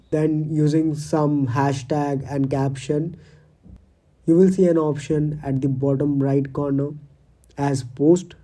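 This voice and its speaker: A man's voice: fairly high pitch, warm tone. A man narrating a scripted step-by-step tutorial as its host.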